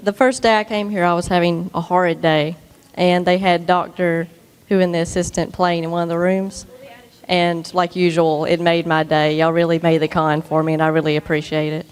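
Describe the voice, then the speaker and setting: A woman's voice: fairly low pitch, level tone, moderate pace, clear, resonant tone. A woman speaking sincerely as an audience fan, giving personal thanks. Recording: echoey convention hall.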